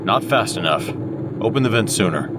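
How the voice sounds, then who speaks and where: An actor playing a gruff, impatient submarine commander in an audio drama, barking terse orders with a sardonic edge. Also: male voice, expressive, moderate pace, close to an everyday voice. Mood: brisk, demanding. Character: gruff, impatient male submarine commander, sarcastic under pressure. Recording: distant voice under loud engine rumble.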